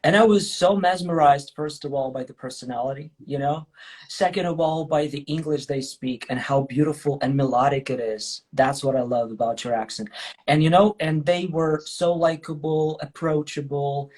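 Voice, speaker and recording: A man's voice: a little high, normal speed, a little mellow, poor audio. A man hosting a casual livestream chat, enthusiastic and chatty, often checking in with the listener. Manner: enthusiastic, chatty, checks with "okay?" and "you know?". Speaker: host, English teacher.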